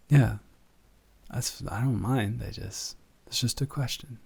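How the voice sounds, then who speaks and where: A man's voice: medium pitch, vocal fry, darkish tone, husky, exaggerated voice. Man acting teasing, affectionate, flustered roommate. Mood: playful, tender. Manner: halting, conversational, self-correcting. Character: teasing, affectionate, flustered young male roommate cuddling a friend. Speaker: audio roleplay performer. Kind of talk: improvised intimate boyfriend-style audio roleplay.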